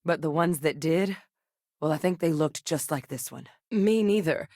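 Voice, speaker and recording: Sci-fi game military scientist lady, lowish voice, composed and earnest, dry studio sound. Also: normal speed, darkish tone, dry recording. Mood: composed, serious. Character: composed, frank woman military scientist in space. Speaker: video game voice actress. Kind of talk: acted video game dialogue.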